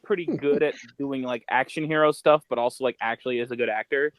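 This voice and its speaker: A man's voice: medium pitch, fast talker, no echo. Casual man rambling, dry close sound. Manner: slangy, rambling, thinking out loud. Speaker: podcast co-host. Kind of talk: casual podcast chat.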